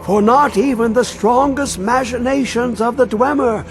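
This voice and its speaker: A man voicing a mad, obsessed old male scholar who talks in riddles and swings between high and low. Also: moderate pace. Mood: conspiratorial. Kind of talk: video game voice acting. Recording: game audio with faint music.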